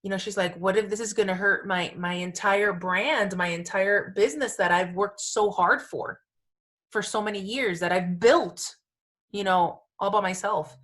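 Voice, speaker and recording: A woman's voice: medium pitch, normal speed, fairly bright tone. A woman co-hosting a podcast, chatting casually and emphatically in an informal, gushing way peppered with "like" and "you know". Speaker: podcast co-host.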